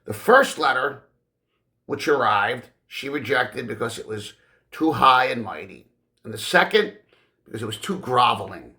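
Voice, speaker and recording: A man reading literary fiction as an audiobook narrator, with deliberate, dramatic phrasing and frequent pauses. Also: medium pitch, lively intonation, sharp tone. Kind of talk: expressive scripted literary narration.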